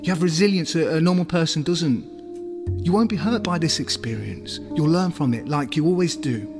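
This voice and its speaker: An actor playing a bluntly cruel, self-loathing boyfriend in an audio drama, with an accusing, emotionally charged delivery. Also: male voice, on the high side, expressive, normal speed, over music. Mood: coldly frank. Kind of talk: dramatic audio play dialogue.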